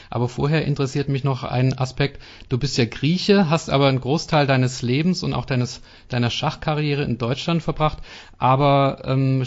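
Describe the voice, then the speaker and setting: A man hosting a podcast, asking his guest long, measured questions. His voice sits at a middle pitch, and the recording sounds muffled and lo-fi. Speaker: podcast host, interviewer. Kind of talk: interview questions. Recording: dull lo-fi podcast audio.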